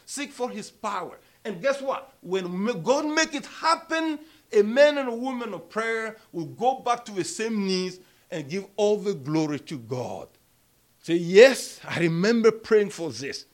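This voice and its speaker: A man preaching a sermon and leading prayer in a small church, speaking emphatically with a strong voice that rises loud on key words. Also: sits high. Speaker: pastor.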